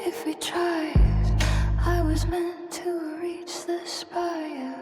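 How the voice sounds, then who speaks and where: A woman singing a slow ballad with whispery passages. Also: very high-pitched, level tone. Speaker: singer. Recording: echoing vocal under loud music. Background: loud music.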